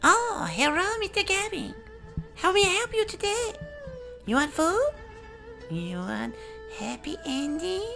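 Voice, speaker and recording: A man voicing a coy, flirtatious restaurant woman in a creaky falsetto with an exaggerated stereotyped foreign accent, for audio comedy. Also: big pitch swings, a little slow, pauses often, pushed and bright, faint music behind, heavily acted. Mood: coy, welcoming.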